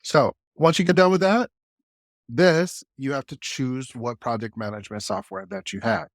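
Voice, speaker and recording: A man's voice: on the high side, expressive, moderate pace, creaky, no room sound, clean studio sound. A man speaking informally and directly to viewers as he walks them through things like a student video creator. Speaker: student video creator giving a course walkthrough. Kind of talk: casual explanatory walkthrough.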